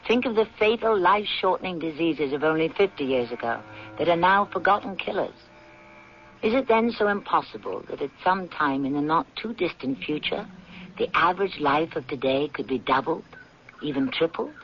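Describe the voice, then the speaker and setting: A man's voice: on the high side, animated, normal speed, a little hiss or hum. A man giving dramatic scripted narration as the host of a radio mystery series. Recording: muffled old radio broadcast.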